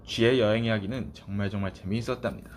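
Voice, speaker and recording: A man's voice: lowish voice, fairly even intonation, fast talker, darkish tone. Male children's storyteller over background noise. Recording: clearly noisy background.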